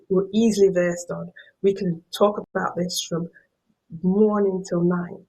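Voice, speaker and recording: Husky-voiced woman with a Yorkshire accent. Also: medium pitch, darkish tone, rough recording. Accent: Yorkshire English. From Yorkshire, England. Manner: repeats words while searching for the next phrase. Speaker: professor giving a guest lecture. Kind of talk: academic lecture.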